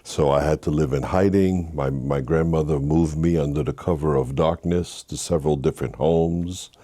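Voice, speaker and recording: An older man from Haiti, a guest telling his life story with earnest faith, with halting restarts. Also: a bass voice, steady tone, warm tone, dry recording, studio quality. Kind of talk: interview, personal story.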